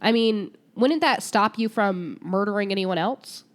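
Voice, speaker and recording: A woman's voice: mid-range voice, a little slow. Stuffy-nosed woman chatting casually. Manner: chatty, teasing, laughs often. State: sick, stuffy nose. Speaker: podcast co-host. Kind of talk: casual true crime chat.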